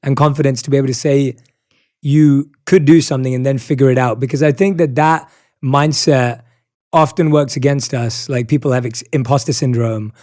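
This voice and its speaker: A man in his thirties with a British accent, hosting a podcast interview in casual chat, introducing guests with measured emphasis. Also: medium pitch, steady tone, darkish tone, no room sound, studio quality. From Britain. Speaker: podcast host.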